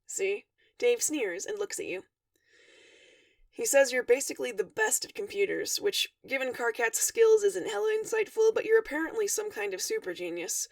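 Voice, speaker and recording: A woman giving an expressive, animated read-aloud of a story, acting out the dialogue. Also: high voice, moderate pace, vocal fry, no echo, far from the mic. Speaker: fan fiction reader.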